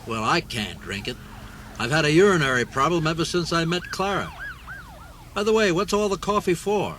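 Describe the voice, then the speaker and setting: A man's voice: a little high, moderate pace, fairly bright tone, a little hiss or hum, close to an everyday voice. A man playing a distracted, rambling middle-aged police chief in a comic radio play. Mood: flustered, grumbling. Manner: rambling, absent-minded, dramatic. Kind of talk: comic radio theater acting. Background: music.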